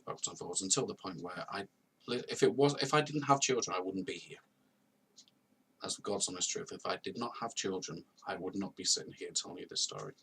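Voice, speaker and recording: Earnest man in his thirties, gravelly. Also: on the low side, fairly bright tone, far from the mic, slight background noise, rough recording. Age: 30s. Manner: earnest, confessional, repeats phrases for emphasis. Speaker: vlogger telling his own story. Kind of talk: personal testimony to camera. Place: at home, on a video call setup.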